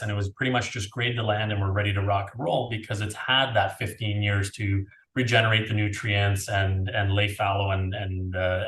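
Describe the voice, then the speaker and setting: A man's voice: fairly low pitch, fairly bright tone. A Canadian man in his thirties giving casual, chatty explanations as an interview guest on a video call. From Niagara region, Canada. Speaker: winery owner being interviewed. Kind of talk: conversational interview answers. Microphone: video call, earphones. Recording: roomy, echoey video call audio.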